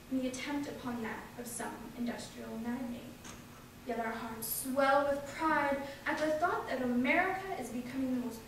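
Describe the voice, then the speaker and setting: A young woman giving a deliberate, declamatory reading of a political speech. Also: high-pitched, a bit bright. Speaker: reader of historical speeches. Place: large indoor hall at a live event. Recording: very echoey hall, distant mic, faint noise.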